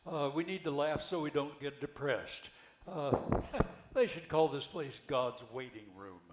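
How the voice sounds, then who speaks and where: An older man reading the part of a wry, skeptical widowed retirement-home resident in an amateur play, halting and repeating words. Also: a little high, lively intonation. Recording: echoey room, background noise.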